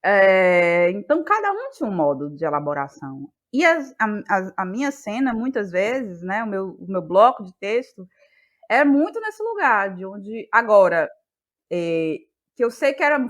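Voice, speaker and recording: A woman with a Bahian Brazilian accent talking reflectively in an interview, feeling her way haltingly through her thoughts. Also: fairly high pitch. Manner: thoughtful, halting, searching for words. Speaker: guest, playwright and actress.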